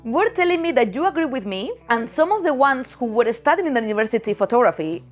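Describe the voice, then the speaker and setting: A woman's voice: fairly high pitch, sing-song, fast talker, faint music behind. Spanish-accented woman chatting frankly. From Spain. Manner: frank, chatty, laughs easily. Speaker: photography youtuber. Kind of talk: casual direct-to-camera talk.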